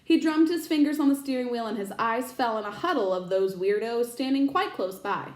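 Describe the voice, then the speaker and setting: A high-voiced woman reads a storybook aloud with lots of rise and fall in her voice. She is a teacher, expressive, and she pauses to explain words to her young listeners. The sound carries an echo from the room around her. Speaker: teacher reading aloud to children.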